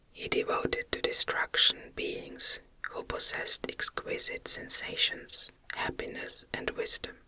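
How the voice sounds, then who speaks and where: A woman reading a novel aloud as ASMR narration in a gentle, soothing, breathy whisper.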